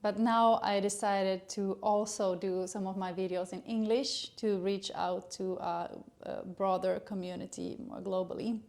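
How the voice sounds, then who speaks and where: A middle-aged woman from Sweden with a Swedish accent, a gynecologist introducing her educational channel in earnest, halting English with many fillers. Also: mid-range voice, steady tone, darkish tone. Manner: earnest, hesitant with frequent uh fillers.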